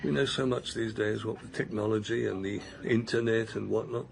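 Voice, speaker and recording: An actor playing an older husband on a pilgrimage walk, speaking in a gentle, musing, wondering way. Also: male voice, lowish voice, fairly even intonation, fairly quick, gravelly. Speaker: man on a long walk, husband. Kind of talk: radio drama acting.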